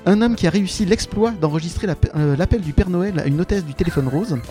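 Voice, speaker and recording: A man presents a live show as its host. His voice sits a bit high, and he delivers introductions with playful, exaggerated grandeur. Music plays softly behind him. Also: fast. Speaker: podcast host. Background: background music.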